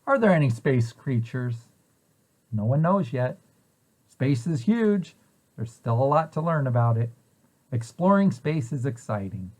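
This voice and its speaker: A man's voice: medium pitch, very animated, a little slow, a little mellow, a little hiss or hum. A man reading a children's picture book aloud in an explanatory way, posing questions and then answering them. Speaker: preschool site director reading aloud to children.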